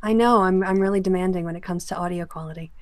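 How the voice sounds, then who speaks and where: A woman's voice: fairly low pitch, steady tone, fast talker, dry recording. A woman hosting a panel discussion, explaining her opinions in hesitant, searching phrases. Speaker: podcast host. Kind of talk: panel discussion on a remote call.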